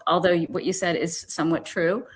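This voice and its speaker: A woman's voice: fairly low pitch, steady tone, fairly quick, a little hiss or hum. A woman guest on a podcast, talking earnestly with frequent "you know" fillers. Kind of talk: conversational podcast discussion.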